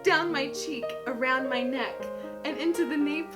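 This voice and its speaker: A woman plays a shy, swooning young heroine of a romance novel. The voice is high, with earnest melodramatic delivery. Music plays behind it. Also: moderate pace, close to an everyday voice. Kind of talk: mock-dramatic reading of a teen romance novel.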